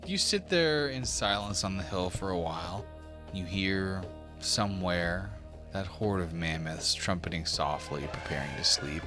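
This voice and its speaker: A man's voice: deep voice, animated, measured pace, over music. A man narrating a tabletop fantasy game as game master, in a casual manner. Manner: casual, swearing.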